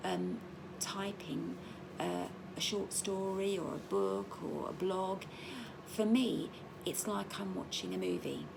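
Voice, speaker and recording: Tired middle-aged lady vlogging from home, really gravelly lowish voice, lots of ums. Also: a little mellow, slight background noise, rough recording. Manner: slow, halting, many ums and restarts. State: in pain, tired. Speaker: patient sharing her experience. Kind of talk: casual personal vlog.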